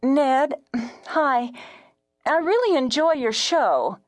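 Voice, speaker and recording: A woman voicing a gushing, hesitant female listener calling in to a radio show in an audiobook story, halting and bashful. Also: high-pitched, animated, no room sound, clean studio sound, voice pushed up. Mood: eager, shy.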